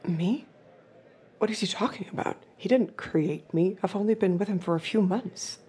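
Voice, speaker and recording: A woman's voice: mid-range voice, moderate pace, big-room sound, slight background noise, natural voice. A woman playing a distressed young android woman in an audio drama, pleading and protesting in short bursts. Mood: bewildered. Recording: loud crowd behind.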